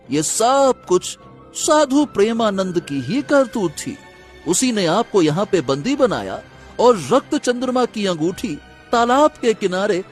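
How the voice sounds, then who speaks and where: A man playing a cunning, menacing sorcerer in a Hindi cartoon folk tale, with a theatrical, commanding manner. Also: high-pitched. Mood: revealing, earnest. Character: cunning, menacing male sorcerer with magic powers. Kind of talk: dramatic Hindi cartoon story acting.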